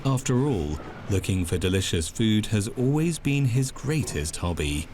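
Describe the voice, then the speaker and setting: A man narrating a documentary in a calm, measured, scripted way. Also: lowish voice, background noise. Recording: music underneath.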